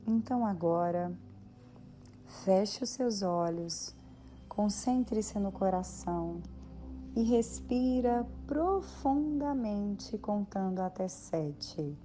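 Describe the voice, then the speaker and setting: Slow-paced Brazilian woman guiding meditation. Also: medium pitch, animated, mellow. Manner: soothing, measured, with long pauses. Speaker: guided meditation leader. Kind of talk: guided meditation narration. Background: background music.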